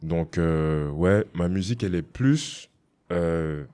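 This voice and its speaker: A young man from Togo, a rapper, chatting casually in an interview, relaxed and slangy, often adding "you see". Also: sits low, slow, clear, resonant tone. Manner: relaxed, slangy, drops English phrases, many "tu vois". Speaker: rapper guest.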